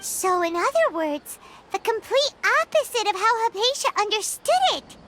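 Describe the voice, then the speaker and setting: A woman voicing a tiny, excitable, childlike fairy companion in a video game, inquisitive and exclamatory, speaking of herself in the third person. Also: very high-pitched, normal speed, slight background noise, heavily acted. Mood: thoughtful. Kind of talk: animated video game cutscene acting.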